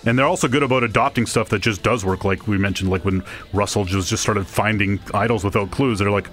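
A man's voice: on the low side, fast, fairly bright tone. Casual, enthusiastic man chatting pop culture. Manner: enthusiastic, rambling, colloquial. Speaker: co-host of an entertainment review show.

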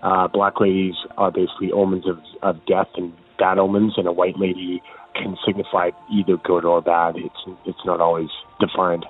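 A low-pitched man from Canada tells his story in a casual, rambling voicemail. The call sounds thin and phone-like, with faint music underneath. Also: flat delivery, moderate pace. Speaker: caller. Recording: tinny phone line.

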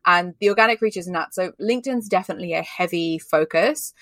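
A woman from Australia with an English accent answers interview questions in an emphatic, chatty way. Her voice sits a little low, and the recording is close and dry. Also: a little fast, a bit bright, no room sound. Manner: emphatic, chatty, many "like" fillers. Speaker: guest, personal branding expert. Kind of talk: candid conversational interview answers.

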